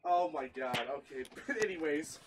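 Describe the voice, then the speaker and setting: Giggly young man, higher-pitched voice. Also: animated, moderate pace, crowd noise. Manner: giggly, easily breaks into laughter. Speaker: video host, hobby radio collector. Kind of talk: casual review with friends on a call.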